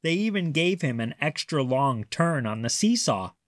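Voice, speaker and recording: A man reading a children's picture book aloud as a storyteller, with expressive, deliberate narration. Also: a little high, dry recording, clean studio sound.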